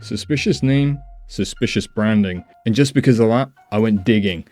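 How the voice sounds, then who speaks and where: A man's voice: lowish voice, moderate pace, a little mellow, soft background music. A man hosting a reaction video, giving casual commentary on clips.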